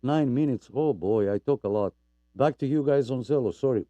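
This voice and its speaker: A man's voice: mid-range voice, normal speed, dark tone, no echo, lo-fi sound. A man from Greece hosting a weekly radio net, rambling and chatty with lots of fillers and apologies for talking too much. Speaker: net host. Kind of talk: casual radio net chat.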